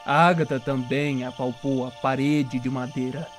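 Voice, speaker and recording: A man's voice: mid-range voice, little pitch movement, unhurried. A man with a Brazilian accent narrating a dramatic story in suspenseful, short clipped phrases. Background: music.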